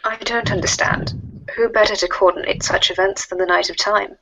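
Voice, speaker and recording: A woman playing a formal, stiffly scheming female alien troll in a comic readers theater, speaking in wordy, deliberate sentences. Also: mid-range voice, fairly even intonation, vocal fry, a bit bright, slight background noise, rough recording. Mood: formal, explaining. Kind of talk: readers theater of web comic chat logs.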